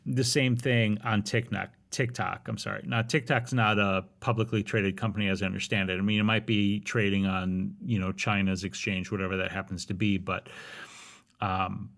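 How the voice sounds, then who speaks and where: Casual man in his forties, low voice. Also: little pitch movement, normal speed, vocal fry, fairly bright tone. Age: 40s. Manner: candid, rambling with frequent restarts, wry humor. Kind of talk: casual chat.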